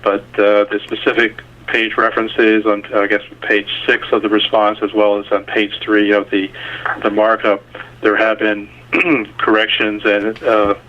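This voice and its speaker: A man giving a halting, hesitant technical report as a company representative on a conference call. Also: on the low side, little pitch movement. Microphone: conference call line. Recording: dull conference line with faint noise.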